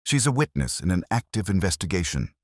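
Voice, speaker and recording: Man acting gruff, deep-voiced police lieutenant. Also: big pitch swings, a little fast, no echo, studio quality, heavily acted. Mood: insistent. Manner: curt, accusing. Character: gruff, hostile, suspicious male police lieutenant.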